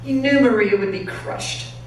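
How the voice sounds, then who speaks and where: A woman narrates a story with expressive, dramatic delivery, like a pastor reading to a congregation. Her pitch is average. She is recorded at a distance in a very echoey church, with faint noise in the recording. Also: measured pace. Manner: dramatic reading, acting out dialogue. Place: church sanctuary.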